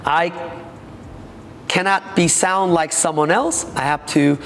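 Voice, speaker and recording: A man from South Korea with a Korean accent, a taekwondo master speaking haltingly in an interview, with many fillers. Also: on the high side, fairly even intonation, fairly bright tone, in a large hall, a little hiss or hum.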